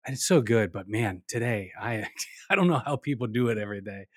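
A man's voice: fairly low pitch, lively intonation, dry recording. Chatty man, dry close sound. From Pittsburgh. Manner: chatty, quick reactions and short agreements. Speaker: podcast host. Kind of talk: casual conversation.

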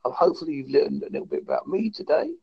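Gravelly high-voiced man in his fifties. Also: dull audio. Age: 50s. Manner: calm, measured, reflective. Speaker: video call guest. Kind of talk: casual conversation. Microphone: video call.

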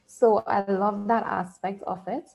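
A woman's voice: medium pitch, steady tone, unhurried, creaky, a little mellow, rough recording. Cheerful Trinidadian woman. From Trinidad and Tobago. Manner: cheerful and laughing often. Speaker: podcast guest, business owner. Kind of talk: casual interview chat.

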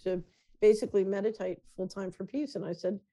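Mellow-voiced woman explaining calmly. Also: medium pitch. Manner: measured, explanatory, with restarts. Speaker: guest, runs a financial company. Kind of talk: conversational interview.

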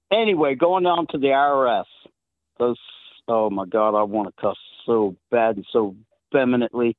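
A man's voice: mid-range voice, no echo. A middle-aged man with a Southern accent, calling in to a show and telling stories in a chatty way, laughing often. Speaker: caller. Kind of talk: call-in show chat. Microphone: phone. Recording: tinny phone line.

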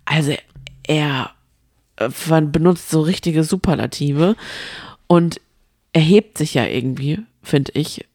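A woman's voice: low-pitched, measured pace, pauses often, darkish tone, no room sound, clean studio sound. A woman co-hosting a casual, gossipy chat about reality TV, telling stories with strong opinions. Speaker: podcast co-host.

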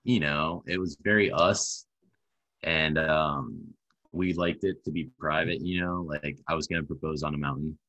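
A man's voice: extremely low pitch, fairly even intonation, normal speed, pushed and bright, no echo, poor audio. A man in his late twenties chatting casually as a podcast guest, laid-back, laughing often and swearing. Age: 20s. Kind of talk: casual chat.

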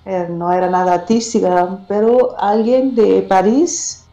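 A woman's voice: medium pitch, steady tone, unhurried, warm tone, echoey room. A woman from Germany with a German accent, a guest singer-songwriter chatting in an interview, talking hesitantly as she searches for words. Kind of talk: casual interview.

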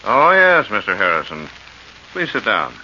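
An actor playing a formal, businesslike moneylender in a deliberate 1940s radio style. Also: male voice, lowish voice, huge pitch swings. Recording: muffled, hissy old radio broadcast.

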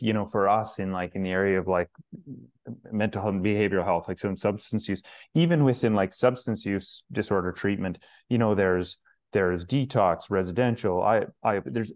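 A man answers interview questions in a casual, meandering way. His voice sits fairly low, and he circles back with many restarts and filler words. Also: darkish tone. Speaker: searcher guest.

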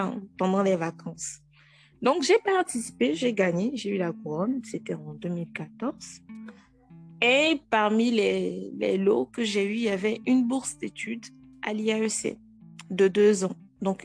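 A woman's voice: mid-range voice, gravelly. A woman in her thirties with a Togolese French accent, a guest telling her own story frankly and with animation. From Togo. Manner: frank and animated, with frequent restarts. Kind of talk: casual interview.

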